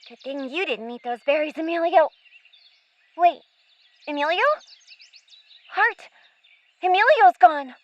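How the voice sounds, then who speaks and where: A woman's voice: very high voice, expressive, unhurried, long pauses, dull audio. A woman voicing a plucky, protective young girl in an audio drama, earnest and eager in her delivery. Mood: urgent.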